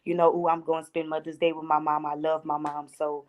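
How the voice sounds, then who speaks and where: Congested woman, low voice, video call guest. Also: level tone, a little fast, faint music behind. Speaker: guest caller.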